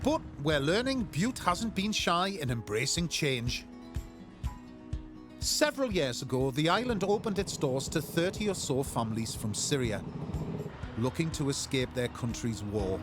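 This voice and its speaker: A man's voice: a little high, very animated, a little slow, over music. A man narrating a TV travel and food show in scripted voice-over.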